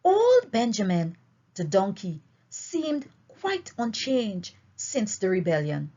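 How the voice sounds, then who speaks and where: A woman reading a novel aloud as an expressive storyteller, with deliberate, emphatic phrasing, on a dull, lo-fi recording. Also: mid-range voice. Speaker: audiobook reader.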